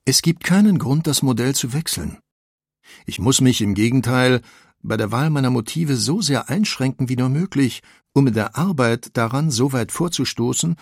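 Reflective artist in an audio portrait: husky, expressive pitch, deliberate and searching delivery. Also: male voice, dry recording, studio quality. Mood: resolute, pensive. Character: reflective, searching male artist musing on his work. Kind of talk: acted reading of an artist's quotations.

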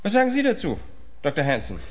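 An actor playing a brisk empirical scientist in a radio drama, assertive and pointed, fond of rhetorical questions. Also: male voice, on the high side, huge pitch swings. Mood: indignant, pointed.

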